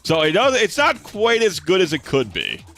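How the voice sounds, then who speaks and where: Chatty gaming streamer with a slight lisp. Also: male voice, huge pitch swings, normal speed, sharp tone, soft background music. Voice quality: relatively deep voice. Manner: laid-back, chatty, swears casually, talks to chat. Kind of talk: casual live-stream chat while gaming.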